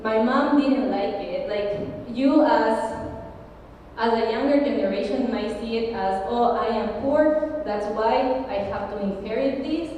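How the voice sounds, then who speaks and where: A woman's voice: fairly high pitch, muffled recording, slight background noise. Ecuadorian woman in an echoey gallery. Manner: animated storytelling with laughs, mixes in Spanish words. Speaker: panelist. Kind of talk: casual panel talk. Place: gallery panel with audience. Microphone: handheld mic, recorded by far camera. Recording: echoey hall from far off.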